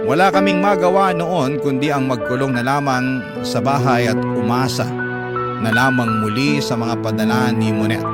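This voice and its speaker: A man narrating a first-person radio drama letter in an earnest, confessional way. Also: medium pitch. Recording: loud music bed under narration.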